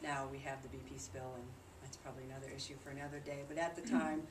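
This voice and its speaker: A woman's voice: rumbling low, flat delivery, fast. A woman giving an informal presentation about a trip to a group, explaining things in a conversational way. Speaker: presenter reporting on a charity trip. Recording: distant mic in noisy, echoey room.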